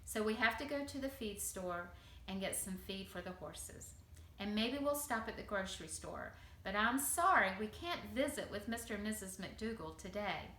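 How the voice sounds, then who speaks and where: A woman's voice: medium pitch, expressive, normal speed, bright, forceful tone. Woman playing a patient storybook queen mother, echoey. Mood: apologetic, firm. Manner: patient, explaining. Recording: echoey room.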